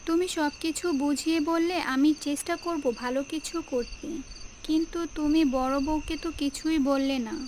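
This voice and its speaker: Eager young cartoon village wife played by a woman, earnest, high voice, noisy ambience of birds and crickets. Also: fairly even intonation, normal speed, a little mellow, natural voice. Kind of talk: cartoon story dialogue.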